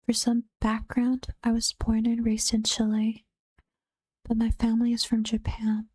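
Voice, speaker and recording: A woman softly whispering ghost stories in a soft-spoken ASMR reading, close to the mic. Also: fairly high pitch, monotone, a little slow, no room sound.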